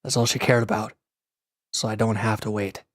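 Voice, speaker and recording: Low, gruff, guarded ex-villain played by a man. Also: level tone, normal speed, dry recording, studio quality, lightly acted. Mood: guarded, stubborn. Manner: curt, grumbling, defensive, with sighs and hesitant breaks. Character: gruff, guarded male former villain, recovering and reluctantly dependent. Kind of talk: scripted roleplay audio drama addressed to the listener.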